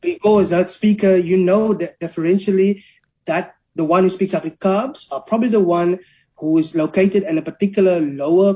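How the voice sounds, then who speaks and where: Warm-toned academic explaining. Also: medium pitch, low-quality recording. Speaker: linguist and academic. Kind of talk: explaining in an interview.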